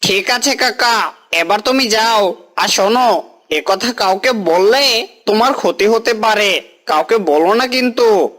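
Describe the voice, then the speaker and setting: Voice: medium pitch, a little slow, fairly bright tone, natural voice. An actor voicing a greedy, pushy village boy in a moral-story cartoon, cajoling and demanding. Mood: sly.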